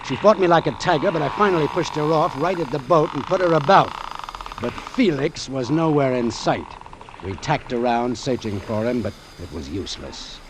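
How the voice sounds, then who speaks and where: A man's voice: medium pitch, lively intonation, normal speed, background noise, lightly acted. An actor playing a hard-boiled, wry doctor, narrating his ordeal tersely and dramatically in a vintage radio drama. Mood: urgent.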